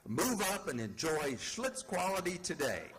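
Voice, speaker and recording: Lively American male radio announcer. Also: on the high side, unhurried, crowd noise. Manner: polished, formal presentation. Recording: broadcast with loud music behind.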